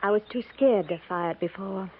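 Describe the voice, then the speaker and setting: An actress playing a shaken, plainspoken widowed frontier schoolteacher in a 1950s radio western, in a subdued, folksy manner. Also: female voice, mid-range voice, fairly quick, natural voice. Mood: bleak resignation. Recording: tinny, noisy 1950s radio recording.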